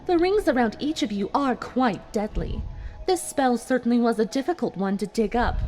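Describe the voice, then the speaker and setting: Woman acting vengeful pony sorceress, high voice, lively swooping delivery, calculating, theatrical, taunting, cartoon fan drama. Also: rough recording. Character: vengeful female pony villain, calm, calculating, gloating sorceress. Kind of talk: dramatic fan fiction audio reading.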